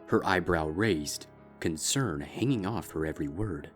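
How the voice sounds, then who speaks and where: A man narrating a dark fan fiction story in a steady, measured way. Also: sits low, soft background music. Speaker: fanfic reader. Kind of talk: scripted narration.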